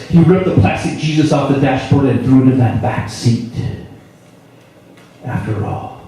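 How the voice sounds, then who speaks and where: A man from South Dakota tells a spoken-word story with a dark, mellow voice and a wry, dramatic touch, moving between loud lines and hushed ones. He is heard from some distance in a very echoey room. Faint noise sits behind him. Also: medium pitch. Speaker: storyteller performer. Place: live performance venue.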